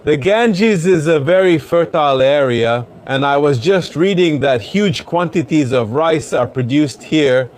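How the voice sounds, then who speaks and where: A man's voice: a little high, unhurried, few pauses. A male travel vlogger talks in an easy, chatty way while waiting at a crowded airport gate. His nose sounds a little stuffed up. A noise-cancelling clip-on mic keeps his voice close and clean. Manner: relaxed, chatty, explaining as he goes. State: a bit congested. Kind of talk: casual travel vlog chat. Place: busy airport terminal. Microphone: wireless clip-on mic with noise cancelling.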